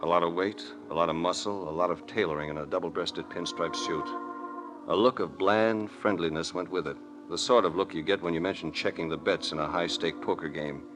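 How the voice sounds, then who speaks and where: Man acting suave adventurer, very low voice, wry, unflappable, classic radio detective drama narrator. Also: fairly quick, music in the background, lightly acted. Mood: wry narration. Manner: smooth, wry, flirtatious, unflappable. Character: suave, wry male adventurer hero narrating his own case.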